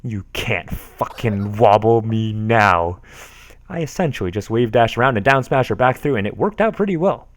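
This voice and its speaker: A man's voice: fairly low pitch, expressive, moderate pace, no room sound. A man casually reading forum posts aloud on a stream, sarcastic and amused, often laughing at what he reads.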